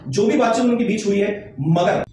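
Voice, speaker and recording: A man's voice: high voice, moderate pace, darkish tone. A man giving an explanatory legal lesson, emphatic and repeating his key points. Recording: very echoey, distant mic, faint noise.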